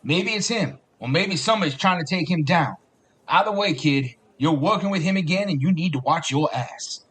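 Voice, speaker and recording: A man's voice: fairly high pitch, expressive, normal speed, fairly bright tone, breathy edge. An actor playing a blunt but caring, fatherly police sergeant in an audio drama, folksy in his talk. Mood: insistent, concerned. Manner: folksy, blunt, calls younger men kid and bub.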